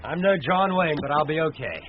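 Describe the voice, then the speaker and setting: An actor playing a polite, skeptical city businessman in a radio drama, speaking in a courteous, measured, dry way. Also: fairly high pitch, lively intonation, lo-fi sound, a little hiss or hum, lightly acted. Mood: agreeable.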